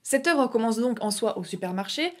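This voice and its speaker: A woman's voice: a little high, expressive, moderate pace, darkish tone. A young woman casually explaining an artwork in a chatty way, with frequent filler hesitations. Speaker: YouTube art explainer. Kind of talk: casual educational video narration.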